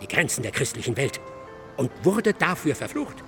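An actor playing an excitable, self-absorbed male scholar in a German radio drama, lecturing insistently and theatrically. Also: mid-range voice, normal speed, over music, natural voice. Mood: feverish, fascinated. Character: excitable, self-absorbed male scholar expounding his dark ghost-ship thesis.

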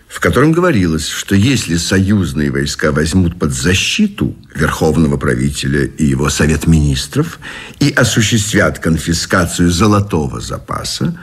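An actor playing a pompous, formal Allied general in a radio play, declaiming official terms in a husky voice. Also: male voice, low-pitched, exaggerated voice. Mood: grave, official.

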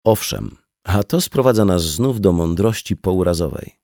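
A man's voice: low voice, lively intonation, measured pace, mellow, no echo, studio quality. A man reading a scripted audiobook dialogue as a narrator. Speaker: audiobook reader.

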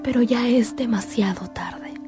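Female narrator, highish pitch, scripted dramatic storytelling, radio play, clear music bed. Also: measured pace.

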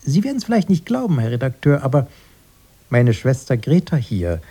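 An actor playing a prim, nostalgic elderly brother in a radio play, earnest and quick to correct. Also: male voice, mid-range voice, big pitch swings, dark tone, slightly rough. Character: elderly brother, proper and nostalgic, easily piqued.

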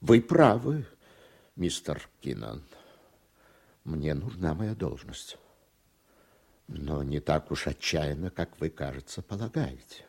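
Radio-play judge: proud, bitter, formal, with an extremely low, husky voice. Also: male voice, sing-song, slow, pauses often, a little mellow, heavily acted. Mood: bitter, dignified. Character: proud, embattled male judge, measured and bitter. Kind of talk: Soviet-era radio drama acting.

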